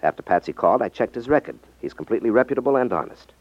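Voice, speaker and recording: An actor playing a confident, wry ex-magician turned detective in a 1950s radio drama, explaining things with self-assurance. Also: male voice, medium pitch, lively intonation, rapid-fire, no room sound. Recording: dull, dry 1950s radio recording.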